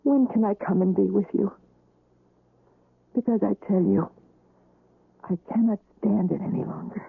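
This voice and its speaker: Weary, despairing wife, husky voice. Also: female voice, mid-range voice, phone-quality sound. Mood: anguished, pleading. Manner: mournful, yearning, deliberate. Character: weary, despairing wife recovering from heart surgery. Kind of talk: radio drama acting. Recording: roomy, band-limited old radio recording.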